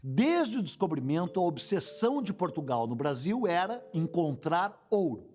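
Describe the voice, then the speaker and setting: A man narrates a history documentary with a wry, storytelling touch. His voice sits fairly high and leaps around in pitch. A little music plays faintly underneath. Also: very animated, moderate pace, darkish tone.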